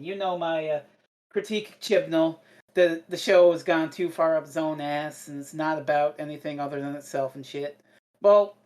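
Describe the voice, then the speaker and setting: A woman giving opinions in a casual podcast review, blunt and sweary, pausing to think as she goes. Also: low-pitched, a little mellow.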